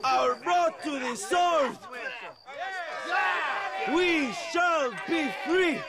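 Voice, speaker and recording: High-shouting fiery Mexican revolutionary leader. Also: male voice, over loud music, exaggerated voice. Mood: impassioned, defiant. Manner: grandiose, impassioned, drawn-out shouting. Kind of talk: theatrical political speech. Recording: noisy outdoor speech over loud crowd.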